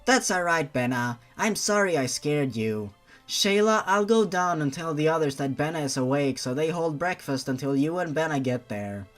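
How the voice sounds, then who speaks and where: A man voicing a gentle, apologetic, polite young boyfriend in a story reading. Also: on the high side, animated, normal speed, soft background music, close to an everyday voice. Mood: gentle apology.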